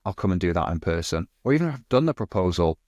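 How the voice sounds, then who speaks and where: A man's voice: low-pitched, big pitch swings, rapid-fire, darkish tone, no echo, muffled recording. A man in his thirties, a podcast guest, talking fluently and casually with lots of "you know" and "kind of". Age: 30s. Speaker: agency guest.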